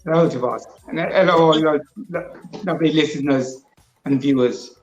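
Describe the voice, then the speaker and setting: A guest man speaks over a remote line with a dull, lo-fi sound in a roomy space. He often stalls and repeats words before he finds his footing, then explains at length. Soft music sits faintly underneath. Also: on the high side. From Jamaica. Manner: halting, repeats words as he starts answers. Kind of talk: interview.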